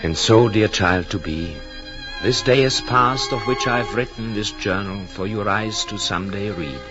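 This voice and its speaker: An actor playing an earnest, brooding European husband and expectant father who narrates his journal in solemn, literary, measured 1940s radio drama style. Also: fairly low pitch, muffled recording, close to an everyday voice. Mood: solemn, uplifted. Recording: old 1940s radio, music behind.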